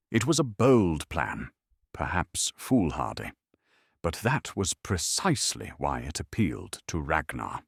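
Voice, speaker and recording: A man with a very low, husky voice narrates a calm bedtime documentary. His delivery is measured and solemn, with wide rises and falls in pitch that shape each phrase like a storyteller. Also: no echo, studio quality.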